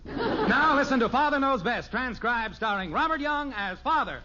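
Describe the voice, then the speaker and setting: A man's voice: very high voice, lively intonation, normal speed, background noise. A man announcing and narrating a 1950s radio sitcom in a folksy, theatrical scripted style. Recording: dull old radio recording. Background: music.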